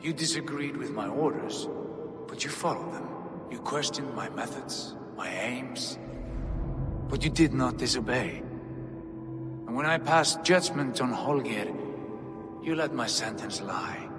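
A man voices a stern Viking jarl, grave and fatalistic, each line weighed and measured. His voice carries a long, hall-like echo. Swelling music plays behind him. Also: mid-range voice, animated, lightly acted. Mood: solemn. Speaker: Viking leader. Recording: reverberant game mix with music.

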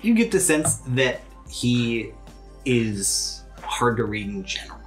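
A man's voice: medium pitch, big pitch swings, slow, faint music behind, low-quality recording. A man narrating a tabletop game podcast as its game master.

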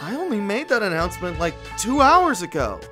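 Lady doing a excitable earnest teen boy hero, cartoon dub, bouncy pitch, music behind. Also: a little fast, close to an everyday voice. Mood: apologetic. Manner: animated, earnest. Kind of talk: comic dub acting.

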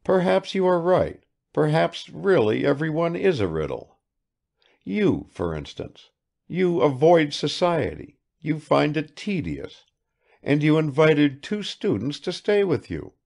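A man performs a harsh young sceptic from a literary audiobook. He is blunt and brooding, argues in slow, heavily inflected phrases, and his raised voice has a gravelly creak. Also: fairly high pitch, darkish tone, pitched above the performer's own voice, full character voice. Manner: harsh, muttering, argumentative. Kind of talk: dramatised literary audiobook dialogue.

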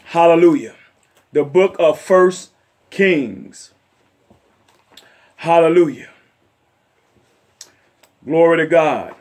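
A middle-aged male evangelist with a raspy voice, preaching fervently from a chair in his living room and sprinkling in amens and hallelujahs. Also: on the high side, extremely slow, long pauses, a little mellow. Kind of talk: home sermon and Bible study. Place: home living room, seated in a leather chair.

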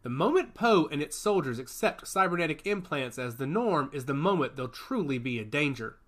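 A man voicing a calm, wry young man who supports his siblings in a read-aloud story. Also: on the high side, moderate pace.

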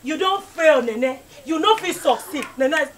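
An actress playing a loud, scolding young woman with a Nigerian accent. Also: sits high, lively intonation, unhurried. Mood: angry. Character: fiery young woman named Lucy, quarrelsome.

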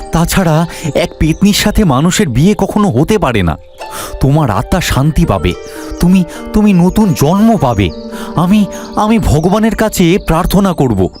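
A man's voice: fairly high pitch, a little mellow. A man voicing a crafty, earnest married village grandfather in a cartoon, with theatrical delivery. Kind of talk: Bengali cartoon voice acting.

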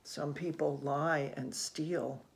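Older storybook reader with a creaky voice. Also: mid-range voice, fairly even intonation. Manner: gentle, unhurried, musing with soft hmms and ohs. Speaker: children's read-aloud host. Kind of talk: picture book read-aloud with asides to children. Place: small room indoors at home.

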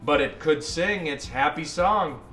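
A man reading a children's picture book aloud in an expressive, dramatic and deliberate way. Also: on the high side, reverberant, faint music behind. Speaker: storyteller.

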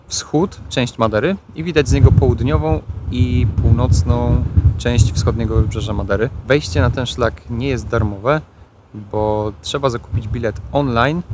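A man's voice: medium pitch, noisy background. Polish man narrating a casual hiking vlog. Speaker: vlog host and hiker. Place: outdoors on a windy coastal hiking trail. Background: music.

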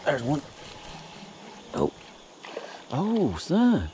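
A man's voice: a little high, wildly swooping pitch, dark tone. A man filming himself while wading a river, talking casually about his fishing and muttering to himself between casts. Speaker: fishing vlogger. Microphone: body-worn camera. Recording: outdoor camera audio with river noise. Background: rushing river water.

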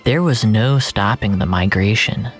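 Narrator doing first person story, slow n measured, literary, bit of music behind. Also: medium pitch.

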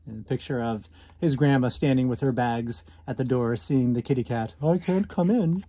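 An American man in his thirties reading a picture book aloud to children, commenting and asking questions with drawn-out emphasis on key words. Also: mid-range voice, steady tone, warm tone. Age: 30s. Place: small indoor room at home.

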